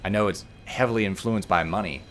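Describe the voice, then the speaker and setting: Slightly hoarse man, very low voice, chatty let's-play commentator walking through a puzzle, faint hiss. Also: quick pace, a bit bright. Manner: explains puzzles step by step, joking. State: a little hoarse. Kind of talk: casual gameplay commentary.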